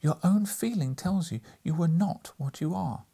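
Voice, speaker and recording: A sorrowful young woman of the storybook world, performed by a male reader. The voice is raised, soft and breathy, with an earnest, gentle manner that carries quiet hurt. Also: a little high, animated, normal speed, pitched above the performer's own voice, heavily acted. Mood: grieving reproach. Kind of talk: dramatic audiobook character reading.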